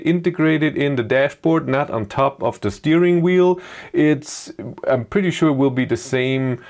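A man's voice: medium pitch, darkish tone. A middle-aged man with a German accent, commenting casually on slides from a small dim room and thinking aloud with many fillers and restarts. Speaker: YouTube car reviewer.

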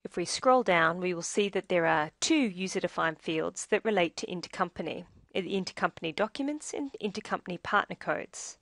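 A woman reading scripted instructional narration for a software tutorial in a measured, explanatory way. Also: fairly low pitch, expressive, dry recording, studio quality.

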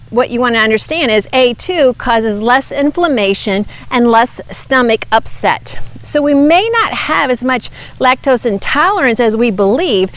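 A woman in her fifties speaks outdoors beside a wooded yard. She explains food labels earnestly and with emphasis, in a slightly high voice. Her speech is picked up close on a clip-on lapel mic. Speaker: nutrition ministry host. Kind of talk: product review talk to camera. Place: outdoors by woods.

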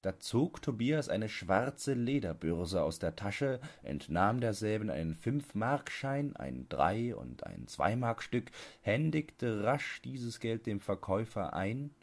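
A man's voice: lowish voice, expressive, slow. A man narrating a literary audiobook as a scripted reading.

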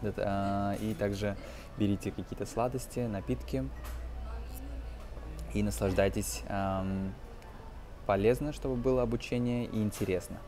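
A man in his twenties giving an informal language lesson as a teacher, explaining phrases in a halting, hesitant way. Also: fairly low pitch, steady tone, over music. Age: 20s. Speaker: English teacher.

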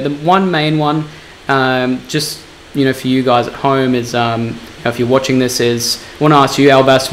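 A man's voice: mid-range voice, slight background noise, poor audio. A young man in his twenties interviewing a guest over a video call from a small room at home, encouraging and full of fillers like "you know" and "um". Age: 20s. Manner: encouraging, filler-heavy, repeats phrases. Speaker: interviewer and e-commerce coach. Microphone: video call webcam.

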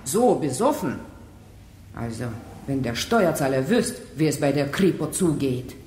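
A stern, indignant hospital worker in a radio play. Her delivery is lively, ringing through a reverberant space over faint noise. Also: very deep voice, measured pace. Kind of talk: radio crime drama acting.

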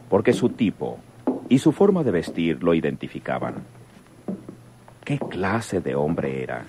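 A man narrating a rural melodrama in a dramatic, deliberate old radio-serial style. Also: low-pitched, a little mellow, full character voice. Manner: dramatic, deliberate, posing questions.

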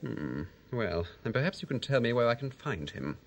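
A man playing a cold, businesslike villain in an audio drama, formal and quietly threatening. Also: mid-range voice, big pitch swings, normal speed, slight background noise. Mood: cold menace.

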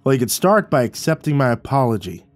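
A man voicing a gruff, bitter old male war veteran neighbor who talks bluntly and crudely, in a storybook reading. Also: mid-range voice, very animated, fast, soft background music, pitched above the performer's own voice, natural voice. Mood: gruff, bossy.

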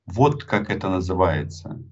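A Ukrainian-accented man in his thirties speaks in a low voice and argues hard over a video call. He drives home each point with pointed rhetorical questions. The audio is dull and lo-fi. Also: sing-song, moderate pace. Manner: forceful, emphatic, rhetorical questions. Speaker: journalist being interviewed. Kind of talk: heated interview on a video call. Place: indoor room with a poster on the wall. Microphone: webcam on a video call.

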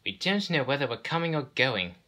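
A man's voice: mid-range voice, sing-song, a little fast, pushed and bright, voice pushed up. A man voicing flustered railway coaches in a storybook, plaintive and fretful.

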